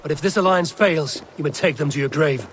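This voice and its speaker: An actor playing a wary, loyal Viking raider in a video game, stern and measured in his delivery. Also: a little high, noisy background, natural voice. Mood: skeptical. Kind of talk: cinematic video game acting. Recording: game audio with horses and outdoor ambience.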